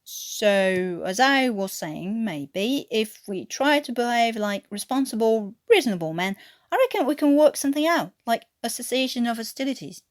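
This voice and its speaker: Woman voicing a cheeky, animated British airman. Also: fairly high pitch, moderate pace, lightly acted. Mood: coaxing. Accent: English. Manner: blunt, chummy, sardonic. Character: cheeky British airman prisoner, man, reasoning and needling.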